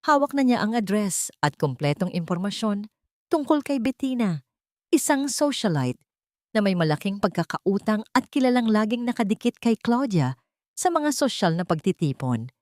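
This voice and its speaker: A woman narrating a scripted audio drama in a steady, dramatic storytelling manner. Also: mid-range voice, normal speed, no echo, studio quality.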